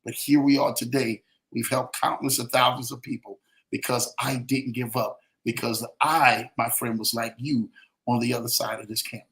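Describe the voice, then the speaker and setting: A man's voice: medium pitch, level tone, normal speed, fairly bright tone. A man from New Orleans giving motivational podcast talk as a credit coach, emphatic and preacherly, addressing listeners directly. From New Orleans, United States. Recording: roomy, echoing sound.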